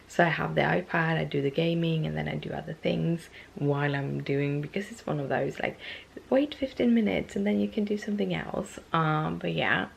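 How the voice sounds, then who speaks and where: Stuffy low-voiced woman vlogging. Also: vocal fry, slight background noise. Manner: rambling, with many ums and restarts. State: stuffy and losing her voice. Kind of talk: casual talking-to-camera vlog.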